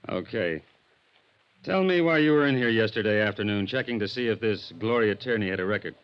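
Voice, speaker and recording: A man acts a tough American police sergeant from a 1950s radio serial. His voice sits on the low side, gruff and sardonic, always pressing. The old recording is dull and muffled. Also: animated, brisk, close to an everyday voice. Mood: skeptical. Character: tough, suspicious male New York police sergeant. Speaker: supporting actor in a radio serial. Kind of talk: 1950s radio acting, interrogation dialogue.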